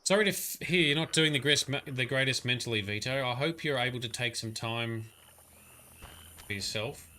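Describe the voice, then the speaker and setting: A man's voice: mid-range voice, normal speed, pushed and bright, a little hiss or hum. A man giving casual, chatty commentary on a livestream while playing a game and talking to his viewers.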